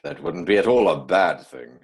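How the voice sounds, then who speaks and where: A man playing an earnest, easily exasperated young English gentleman in a stage comedy reading, stuffy and emphatic. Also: deep voice, sing-song, moderate pace, gravelly, slightly rough, heavily acted. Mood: confessional, stiff.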